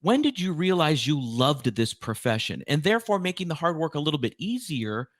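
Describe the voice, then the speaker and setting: A male podcast host with a somewhat high voice conducts a conversational interview. He is effusive and leans on his words for emphasis. The recording is dry, without room sound. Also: very animated, brisk, no room sound.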